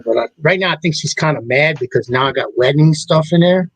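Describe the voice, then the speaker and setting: Rambling middle-aged man, slightly high voice. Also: dry recording. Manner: rambling, halting, with many restarts. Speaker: talk show host. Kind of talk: casual chat.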